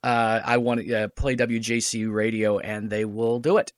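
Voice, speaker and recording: A man guesting on a podcast, talking haltingly with many restarts. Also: mid-range voice, completely flat delivery, no echo, clean studio sound. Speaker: guest, radio play writer. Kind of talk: casual podcast chat. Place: lives in North Carolina.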